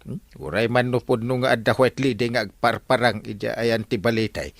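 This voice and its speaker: A man's voice: mid-range voice, steady tone, brisk, vocal fry, no echo. A man with an Ilocano Filipino accent acting a skeptical, stammering comic villager in a radio comedy drama. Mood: dismissive. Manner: hesitant interjections, exaggerated swoops.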